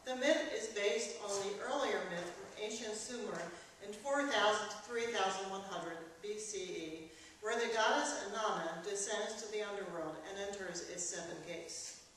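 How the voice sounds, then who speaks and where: Woman presenter: measured, formal prepared introduction, heard from a distance in a heavily echoing church. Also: medium pitch, a bit bright. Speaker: novelist introducing her staged reading. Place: church performance space. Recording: very echoey church, distant, faint noise.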